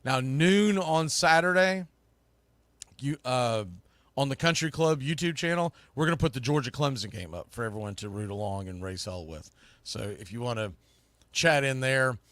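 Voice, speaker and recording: A man's voice: mid-range voice, lively intonation, normal speed, fairly bright tone, no room sound. A man hosting a sports show, talking to viewers in a loose, informal, rambling way and often correcting himself. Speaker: host of a sports podcast and play-by-play streamer.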